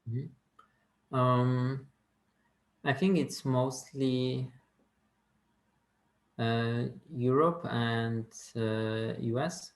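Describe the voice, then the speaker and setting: A man answering questions as a robotics consultant in a casual video call chat, speaking slowly and hesitantly with many fillers. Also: mid-range voice, fairly even intonation, roomy sound.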